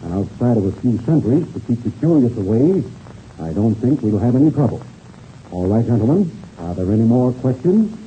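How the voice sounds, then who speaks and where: An actor playing a formal, courteous race official in a 1930s radio serial, speaking in deliberate speeches. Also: male voice, medium pitch, sing-song, lightly acted. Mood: formal, firm. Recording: muffled old radio recording, faint noise.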